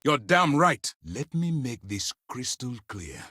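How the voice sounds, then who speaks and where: An actor playing a stern, principled military commander in a video game, speaking with formal, measured authority. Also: male voice, medium pitch, very animated, pushed and bright, dry recording, studio quality, full character voice. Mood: stern, angry. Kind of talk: acted game dialogue.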